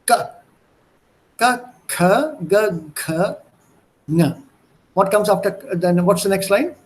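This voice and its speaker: A man's voice: high-pitched, expressive, slow. A man with an Indian accent teaching an online language lesson in a didactic way, often repeating and demonstrating points.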